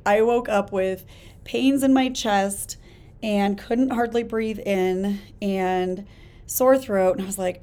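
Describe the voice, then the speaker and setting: A woman hosting a solo podcast, talking in an energetic, emphatic, conversational way with a hoarse voice while she gets over a chest illness. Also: mid-range voice. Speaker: podcast host. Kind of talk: casual solo podcast talk.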